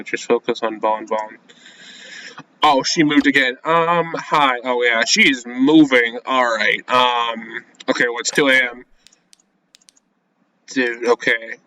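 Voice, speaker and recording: Bright-voiced young man doing a let's play. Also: mid-range voice, takes it slowly, low-quality recording. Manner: slangy, jumpy, talking to himself. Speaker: gaming video creator. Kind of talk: casual let's play, reading visual novel text aloud and reacting.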